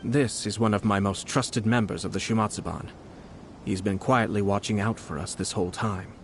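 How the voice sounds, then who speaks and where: A man playing a composed, shrewd young nobleman in a video game, speaking formally and deliberately. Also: fairly low pitch, steady tone, slight background noise, natural voice. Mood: pensive, serious. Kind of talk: video game character acting. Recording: faint music behind the voice.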